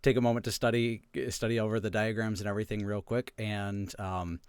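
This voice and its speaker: A man's voice: fairly low pitch, level tone, a little fast, clean tone, no room sound, clean studio sound. A man playing a tabletop role-playing game, talking casually as he improvises his character's actions.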